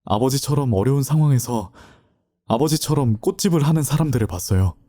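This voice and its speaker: Man acting earnest young student, mellow and muted. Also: mid-range voice, expressive, a little fast, natural voice. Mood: sorrowful, restrained. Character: earnest, dutiful young male student, burdened but resolute. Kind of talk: Korean audio drama acting.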